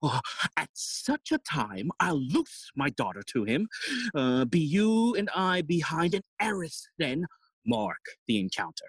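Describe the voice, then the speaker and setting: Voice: medium pitch, sing-song, measured pace, breathy edge, dry recording. An actor playing a fussy, long-winded old male counsellor in an audio play, theatrical and forever interrupting their own speech. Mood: self-important. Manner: rambling, theatrical, self-interrupting. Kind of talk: Shakespearean stage acting in audio play.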